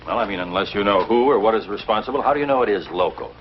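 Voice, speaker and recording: Actor as a measured, inquisitive reporter, muffled soundtrack. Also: male voice, fairly low pitch, expressive, close to an everyday voice. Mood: calm, questioning. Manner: measured, questioning, hesitant starts. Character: reasonable, inquisitive, skeptical male city reporter. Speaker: actor in a TV drama. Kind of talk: 1950s television drama acting. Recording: dull, noisy old TV soundtrack.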